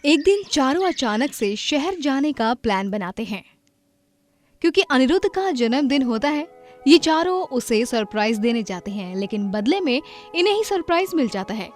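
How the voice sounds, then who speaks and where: A woman narrating a cartoon moral story in an expressive storybook style. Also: sits high, moderate pace. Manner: expressive, emotional. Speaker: narrator and heroine Madhu. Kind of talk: storybook narration and acted dialogue. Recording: background music under voice.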